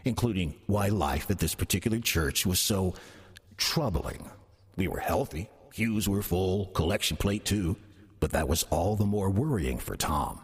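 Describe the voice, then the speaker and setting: An actor playing a sly, ancient demon posing as an old church usher, wry and sardonic, with an American accent, in an audio drama. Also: male voice, low voice, slightly rough, exaggerated voice. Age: older. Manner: wry, sardonic, deliberate storytelling. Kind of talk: audio drama first-person narration.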